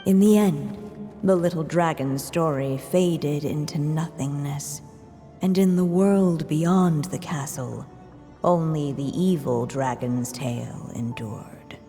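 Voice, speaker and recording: A solemn fairy-tale narrator for a video game. The voice is low and dark, measured and unhurried, with long reverb and music behind it. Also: female voice. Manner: measured, storytelling. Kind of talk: scripted storybook narration.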